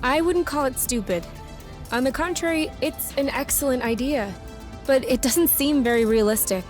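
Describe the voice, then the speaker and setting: Woman acting feisty, high-voiced young rebel woman. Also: normal speed, soft background music, close to an everyday voice. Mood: skeptical, warming. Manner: blunt, sarcastic, expressive. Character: feisty, defiant young woman, anxious runaway lover. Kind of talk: video game cutscene acting.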